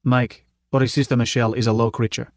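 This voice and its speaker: A man's voice: mid-range voice, flat delivery, fast talker, darkish tone, dry recording, studio quality, natural voice. A man doing a bold, teasing young woman.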